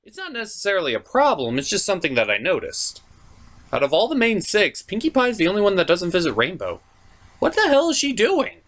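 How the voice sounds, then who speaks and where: A man with a fairly high voice delivers a scripted comedic review. His pitch moves around a lot, with theatrical emphasis and a mock-incredulous edge. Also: huge pitch swings, brisk, a bit bright.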